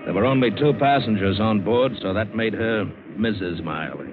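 An actor playing a cynical, hard-boiled American ship's mate, narrating and speaking tersely in a vintage radio adventure drama. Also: male voice, fairly low pitch, moderate pace, close to an everyday voice. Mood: wry, irritated. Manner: terse, sardonic, tough-guy delivery. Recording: dull old radio broadcast, loud music.

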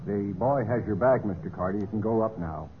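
An actor playing a polite, efficient hotel desk clerk in a 1950s radio drama, formal and courteous. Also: male voice, lowish voice, fairly quick, over loud music, lightly acted. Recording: muffled, noisy old radio broadcast.

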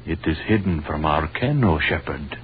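A man's voice: rumbling low, expressive, normal speed. An actor playing a devout travelling wise man in a 1930s radio play, with declamatory biblical phrasing. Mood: assured. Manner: declamatory, archaic biblical speech. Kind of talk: 1930s radio drama acting. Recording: tinny, hissy 1930s radio recording.

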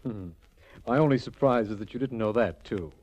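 A man's voice: medium pitch, moderate pace, darkish tone, lightly acted. A man playing a formal, earnest district attorney in a 1940s radio detective drama. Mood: businesslike. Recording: muffled old 1940s radio recording.

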